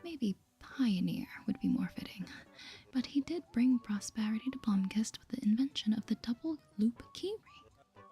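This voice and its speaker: A woman voicing a proud, flattering video game newspaperman in a grandiose but whispered manner. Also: a little high, moderate pace, full character voice. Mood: self-important. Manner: grandiose, smooth-talking.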